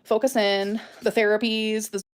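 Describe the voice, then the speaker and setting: A woman with a medium-pitched voice gives a casual update to her online followers. She talks emphatically, circling back and repeating phrases to press her point. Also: level tone, moderate pace, clean tone. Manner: emphatic, repetitive. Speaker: YouTuber.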